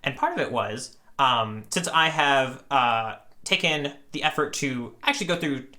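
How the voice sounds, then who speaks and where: A man's voice: mid-range voice, animated, measured pace, sharp tone. A man co-hosting a podcast in casual, rambling, conversational chat. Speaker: podcast co-host.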